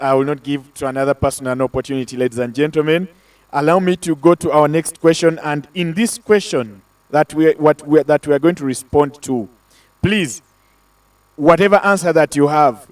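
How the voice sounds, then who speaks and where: Loud talkative male moderator. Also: fairly high pitch, recorded from a distance. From Africa. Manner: loud, talkative, addresses audience directly. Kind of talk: moderating an online panel.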